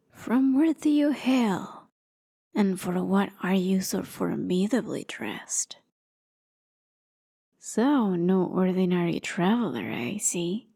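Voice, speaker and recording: A woman's voice: fairly low pitch, lively intonation, slow pace, creaky, long pauses, dark tone, breathy edge, full character voice. A woman voicing a feeble, pleading old woman traveler in a fantasy ASMR roleplay, with a hesitant way of talking. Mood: weary, pleading.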